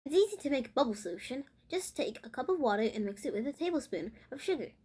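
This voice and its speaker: A woman with a slightly husky voice giving simple step-by-step instructions as a voice-over for a children's craft. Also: high voice, sing-song, quick pace, dark tone.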